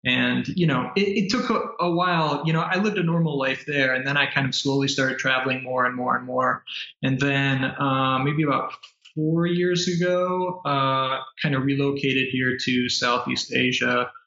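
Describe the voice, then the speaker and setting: An American man talks through an interview in a relaxed but halting way, stacking fillers and restarts. His voice is gravelly and bright. It comes over a roomy, lo-fi remote connection. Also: medium pitch. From United States. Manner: hesitant, many fillers and restarts. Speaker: guest entrepreneur. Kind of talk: casual interview chat. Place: apartment in Manila.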